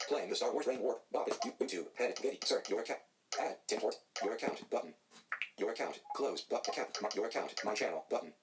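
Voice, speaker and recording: A male screen reader voice rattles off screen items in flat, synthetic announcements. It speaks very fast, and steady background noise runs under the recording. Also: on the low side.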